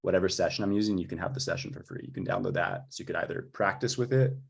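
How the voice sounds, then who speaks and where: Low-voiced man with a husky edge. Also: racing. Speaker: composer. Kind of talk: informal chat about own work.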